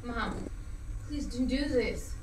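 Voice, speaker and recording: A woman's voice: fairly high pitch, slow. An actress playing a passionate young woman about to go to war, speaking in an impassioned, pleading way. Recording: roomy, distant mic, faint hiss.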